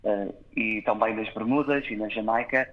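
A man's voice: lowish voice, fairly even intonation. Guy from toronto being interviewed, lots of ehs and restarts, kinda dull radio sound. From Canada, born in Toronto. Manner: hesitant, many filled pauses and restarts. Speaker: guest. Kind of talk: interview answers.

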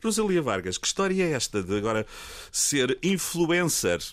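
A man with a European Portuguese accent hosting a relaxed radio interview, chatty, laughing often and searching for words. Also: on the high side, moderate pace, dry recording. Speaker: radio host.

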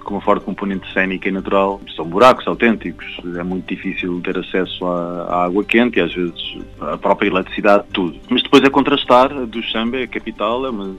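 Low-voiced young Portuguese man, halting and reflective. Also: fairly bright tone, muffled recording. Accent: European Portuguese. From Algarve, Portugal. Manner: halting, repeats words while searching for phrasing. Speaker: traveller and guide interviewed. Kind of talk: casual reflective interview talk.

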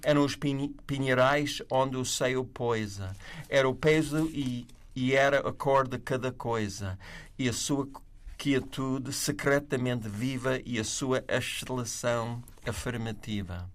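A man with a non-native accent reads poetry in a slow, measured way before sliding into relaxed conversation. His voice sits at an ordinary mid pitch. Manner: slow, measured reading of verse. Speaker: writer and panel guest. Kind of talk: poetry reading, then casual conversation.

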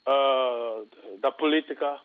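A man's voice: mid-range voice, steady tone, slow, smooth, no echo. A man from São Tomé and Príncipe calling in to a radio show, speaking with passion and indignation. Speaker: radio caller. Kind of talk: phone-in opinion. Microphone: telephone. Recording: tinny phone line, dry.

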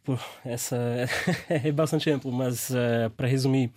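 A man's voice: medium pitch, fairly even intonation, measured pace, darkish tone, dry recording. Brazilian man, hesitant answers, dry sound. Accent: Brazilian Portuguese. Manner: hesitant, many fillers and restarts. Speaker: startup founder. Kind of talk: interview answers.

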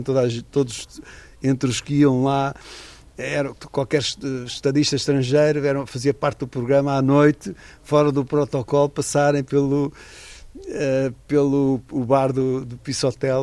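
A man's voice: mid-range voice, warm tone, no echo. A middle-aged man, an author and former correspondent, talking in a radio interview in a thoughtful, digressive way with many restarts.

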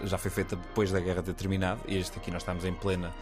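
Jokey low-voiced Portuguese man. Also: flat delivery, rapid-fire, over music. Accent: European Portuguese. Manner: jokey, slangy, self-mocking. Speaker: podcast co-host. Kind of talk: casual film podcast chat.

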